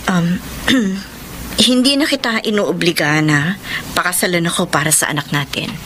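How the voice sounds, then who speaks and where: A woman with a Filipino accent playing a gentle, self-sacrificing young woman in a radio drama, earnest and subdued. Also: on the low side, normal speed, lightly acted. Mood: resigned, apologetic. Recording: radio drama with clear background noise.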